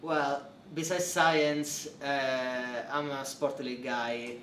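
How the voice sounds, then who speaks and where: A man's voice: mid-range voice, steady tone, very slow, fairly bright tone. Young italian guy, italian accent, lots of uhs in english, echoey room with faint hiss. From Italy. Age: young adult. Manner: hesitant, many fillers and restarts, non-native phrasing. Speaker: interviewee, PhD researcher. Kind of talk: casual interview answers. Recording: roomy, distant mic, faint noise.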